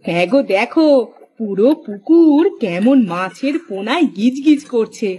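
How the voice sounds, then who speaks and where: Woman acting a high-pitched, spirited village wife. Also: lively intonation, normal speed, warm tone, close to an everyday voice. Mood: excited. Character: village fisherman's wife, spirited, encouraging, plain-spoken. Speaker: cartoon character voice. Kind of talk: Bengali cartoon story dialogue.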